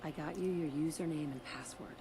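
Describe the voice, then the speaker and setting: An actress playing a determined, level-headed video game heroine, direct and sincere. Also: female voice, low-pitched, a little fast, noisy background.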